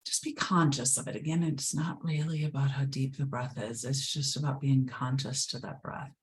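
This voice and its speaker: A woman leading a guided meditation, speaking slowly and soothingly, sometimes dropping to a whisper. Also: extremely low pitch, steady tone. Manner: slow, soothing, drifts into whispers.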